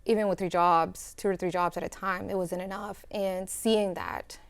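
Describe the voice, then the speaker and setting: A young woman from El Salvador sits for a studio news interview. She talks about her life earnestly and reflectively, pausing with fillers and restarting her sentences. Her voice sits low and sounds smooth and clear. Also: steady tone. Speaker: student guest.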